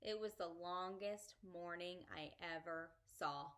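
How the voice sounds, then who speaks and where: A woman reading a children's story aloud as an expressive storyteller. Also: on the low side, unhurried. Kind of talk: expressive children's book narration.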